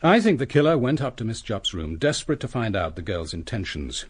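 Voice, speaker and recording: Husky, probing British police inspector. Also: male voice, on the low side, sing-song. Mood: reflective, intent. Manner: measured, deliberate, theatrical reconstruction of events. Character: coolly brilliant male police inspector, methodical and probing. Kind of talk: British radio detective drama.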